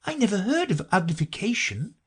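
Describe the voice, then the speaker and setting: A man plays a prim young storybook girl in a high, lightly husky voice. She is polite and curious, hesitant at times and quick to take offence. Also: sing-song, exaggerated voice. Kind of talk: storybook character voice.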